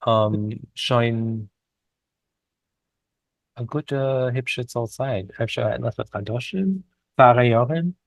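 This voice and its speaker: A man interviewed as a guest poet, speaking a learned second language in hesitant, deliberate short phrases with pauses. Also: mid-range voice, no room sound, rough recording. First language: not a native speaker of Yiddish. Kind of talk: reflective interview conversation.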